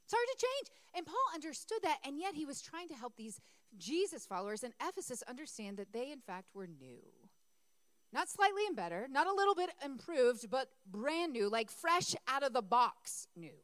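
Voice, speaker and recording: A woman's voice: sits high, big pitch swings, normal speed, fairly bright tone, no echo. A woman pastor who is losing her voice, preaching a conversational sermon in a church and calling on the congregation to answer her. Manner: conversational, asks congregation for responses.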